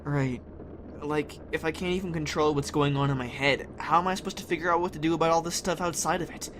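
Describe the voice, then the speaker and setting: A young man playing a blunt, troubled teenage boy in an audio drama, casual and halting. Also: a little high, steady tone, a bit bright, natural voice. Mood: scared, frustrated. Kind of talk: fan audio drama acting. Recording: noisy ambience behind the voice.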